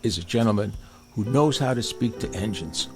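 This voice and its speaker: A man voicing a polite, gentle and downhearted old tram engine in a storybook, with a gravelly voice. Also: lowish voice, animated, normal speed, music in the background, voice pushed down, lightly acted. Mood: polite, grateful. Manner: polite, earnest.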